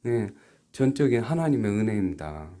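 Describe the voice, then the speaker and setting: Low-pitched male pastor preaching. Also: very animated. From South Korea. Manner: halting delivery, swinging between quiet asides and emphatic rhetorical questions. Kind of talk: church sermon.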